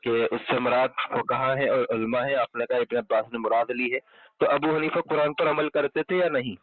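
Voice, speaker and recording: A man's voice: medium pitch, steady tone, moderate pace, dry recording. A man in an argumentative religious debate over the phone, insistently pressing and repeating pointed questions. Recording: muffled, band-limited telephone call recording.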